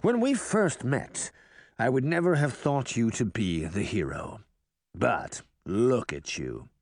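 A man voicing a menacing magic-mirror villain who mocks in a slow, theatrical way. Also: mid-range voice, sing-song, a little mellow, no echo, clean studio sound, full character voice. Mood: mocking.

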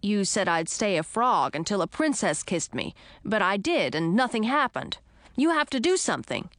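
A woman's voice: mid-range voice, sing-song, normal speed, fairly bright tone, dry recording. A woman voicing a cautious young male frog, an enchanted prince in a storybook, earnest and careful. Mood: wary.

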